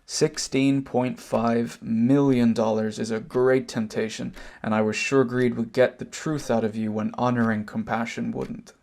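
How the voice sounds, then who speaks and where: A man acts out a wronged Irish brother in an amateur drama. His voice has a gravelly creak at a middling pitch. He lays out old grievances deliberately and pointedly, with bitter restraint. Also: flat delivery, darkish tone. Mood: hurt, resolute. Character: wronged older emigrant brother, cancer survivor, measured, bitter. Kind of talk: amateur stage drama acting, long monologue.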